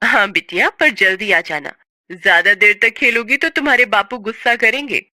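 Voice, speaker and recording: Lady playing poor long-suffering indian mom in a story, earnest and pleading, very bright sharp voice. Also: medium pitch, normal speed, a little hiss or hum. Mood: gentle. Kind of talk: dramatized story reading.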